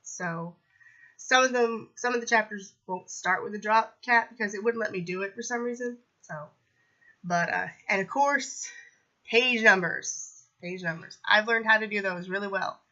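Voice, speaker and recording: Young woman, bright voice, sniffly from a cold, rambling casual chat, lots of fillers, lo-fi recording. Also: medium pitch, normal speed. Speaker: self-published author vlogging. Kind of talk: casual update vlog.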